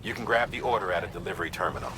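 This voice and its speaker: Deep, grave commanding briefing officer. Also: male voice, animated, noisy background. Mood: businesslike briefing. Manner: measured, serious, instructive. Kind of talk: dramatic video game mission briefing.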